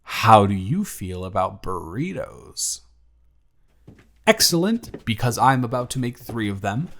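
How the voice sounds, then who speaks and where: A young man playing a grateful single father who talks softly and teases gently in a romantic audio story. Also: lowish voice, very animated, measured pace, heavily acted. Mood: tender, playful. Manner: soft-spoken, gently teasing. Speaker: audio drama performer.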